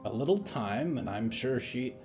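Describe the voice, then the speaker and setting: A man's voice: mid-range voice, lively intonation, moderate pace, darkish tone, soft background music, close to an everyday voice. A man voicing a friendly, caring companion with a casual, considerate manner in an audio drama. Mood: sympathetic.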